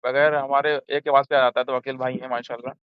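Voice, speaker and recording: A man's voice: medium pitch, little pitch movement, fast, clean tone, no room sound. A man on a recorded phone call, chatting respectfully and agreeably, often giving short deferential affirmations. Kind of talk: casual, respectful phone conversation.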